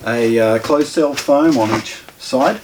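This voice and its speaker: Distant, low-voiced New man unboxing. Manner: halting, matter-of-fact, thinking aloud while handling boxes. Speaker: presenter of a hi-fi shop's unboxing video. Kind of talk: casual unscripted product unboxing commentary. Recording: distant mic, noisy room with handling clatter.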